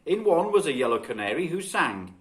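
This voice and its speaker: A man reading a children's story expressively and dramatically, with deliberate phrasing. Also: a little high, very animated. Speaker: guest storybook reader.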